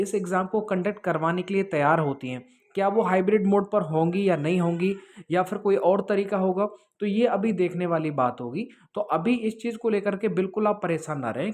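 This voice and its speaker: Male exam-prep teacher, explanatory delivery, reassuring, often checks that listeners follow. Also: sits high. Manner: reassuring, repetitive with frequent check-in tags. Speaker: exam-prep teacher answering students' questions. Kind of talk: explanatory talk to students, answering comments.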